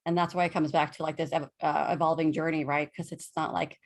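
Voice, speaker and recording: A woman's voice: low-pitched, level tone, fairly quick. A woman who coaches others on self-trust, talking as a podcast guest in an earnest, conversational way. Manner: earnest, conversational, many fillers and self-quotes.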